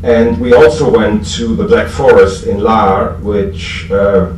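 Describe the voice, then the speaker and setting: A man with a German accent gives an informal, halting public talk about past times, with dry, self-deprecating humour. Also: lowish voice, steady tone. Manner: self-deprecating, dryly joking. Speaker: speaker at a recovery fellowship convention. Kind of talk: informal public speech recalling history. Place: echoey room before an audience. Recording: echoey, noisy room, distant microphone.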